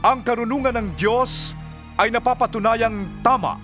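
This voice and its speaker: A solemn holy preacher in a scripture audio drama. His voice sits high and rings out brightly, with deliberate, declamatory phrasing. Music plays behind his fervent, authoritative words. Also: full character voice. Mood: earnest, preaching.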